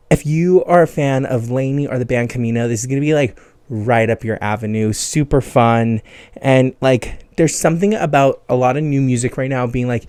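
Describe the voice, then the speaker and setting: A man's voice: medium pitch, darkish tone, dry recording. Chatty, enthusiastic man hosting a podcast. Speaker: podcast host. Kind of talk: casual music recommendation monologue.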